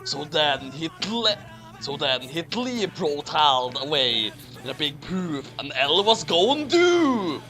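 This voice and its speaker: A man's voice: fairly high pitch, big pitch swings, slow, bright, forceful tone, soft background music. A man reading a story aloud theatrically and chatting casually with friends. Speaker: co-host reading fan fiction aloud.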